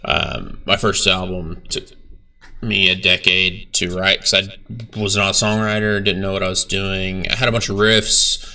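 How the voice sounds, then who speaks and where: Gravelly low-voiced man, laid-back rambling. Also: level tone, normal speed, bright, forceful tone, recorded from a distance. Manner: laid-back, rambling, frequent fillers and swearing. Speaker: bass player reacting to his band's video. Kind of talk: casual reaction vlog.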